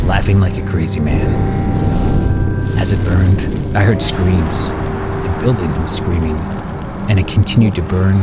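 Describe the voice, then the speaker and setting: A man acting as a bitter, haunted convict, narrating a horror audio drama in measured, brooding tones over music. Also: low voice, lightly acted. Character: bitter, haunted male arsonist convict recounting a horror tale. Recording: music bed under the voice.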